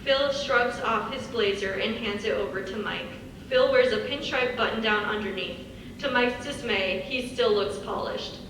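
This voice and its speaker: A woman's voice: fairly high pitch, normal speed. A woman reading stage directions as scripted narration. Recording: echoey hall, far from mic, faint noise.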